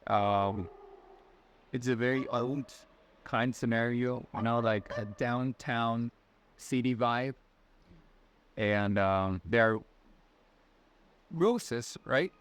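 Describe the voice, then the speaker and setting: A man's voice: medium pitch, slow, pauses often, clean tone. A man in his twenties from Ecuador with a Latin American Spanish accent, a guest answering interview questions in a friendly, hesitant way. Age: 20s. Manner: friendly, hesitant, many fillers and restarts. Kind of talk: casual conversational answers.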